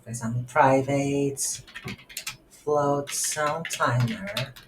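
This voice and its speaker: A woman with a low voice talks slowly through a coding tutorial. She thinks aloud in halting pieces as she works. The room adds noticeable echo to her words. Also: steady tone. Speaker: tutorial instructor. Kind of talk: unscripted software tutorial narrated while coding. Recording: roomy echo, faint background noise.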